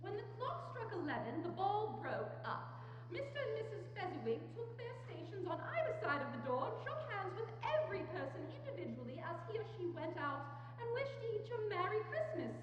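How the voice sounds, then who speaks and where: A woman narrates a story in a very high, lively voice. It is a staged reading, and the sound echoes through a hall. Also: a little fast, soft background music. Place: church hall, dramatic reading before microphones. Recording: dull, echoing church hall.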